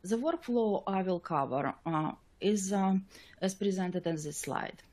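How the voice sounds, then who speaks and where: A woman scientist presenting a technical slide lecture in a webinar, with a halting, hesitant delivery. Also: mid-range voice, lively intonation, a little mellow.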